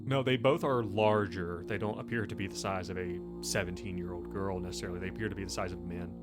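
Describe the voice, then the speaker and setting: A man narrating a tabletop horror game as game master, describing things in a halting, conversational, improvised way. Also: low-pitched, fairly even intonation. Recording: music playing under the voice. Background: background music.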